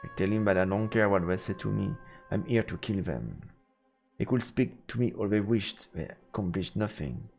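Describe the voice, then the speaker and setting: Low gravelly guy reading out a story game dramatically, measured pauses. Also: lively intonation, a little mellow. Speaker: let's play streamer narrating. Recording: echoey, long reverb, music behind. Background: background music.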